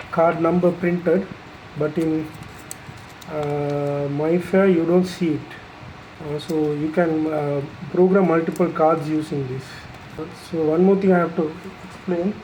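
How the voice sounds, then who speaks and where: Tutorial presenter: unhurried, halting, plain-spoken, soft mellow timbre, walking through hardware off the cuff. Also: mid-range voice, fairly even intonation, a little hiss or hum, low-quality recording. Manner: halting, pausing mid-phrase, matter-of-fact. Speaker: installer presenting a product tutorial. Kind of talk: unscripted product demonstration, explanatory.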